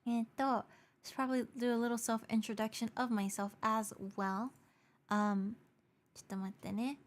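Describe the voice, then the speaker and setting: A young woman streaming live, chatting casually with viewers in a bubbly, giggly way while her voice is going hoarse. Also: fairly high pitch, little pitch movement, fairly quick. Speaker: VTuber streamer. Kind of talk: casual livestream chat, switching between English and Japanese.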